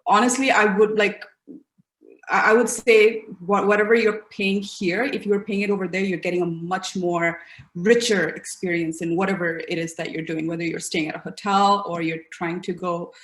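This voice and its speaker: A woman's voice: lowish voice, fairly even intonation, moderate pace, fairly bright tone. Upbeat woman in her 30s from the uae, guest on an interview, lots of likes and ums, echoey room. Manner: chatty and upbeat, often trailing off with fillers. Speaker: interview guest, fashion blogger. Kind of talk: casual interview.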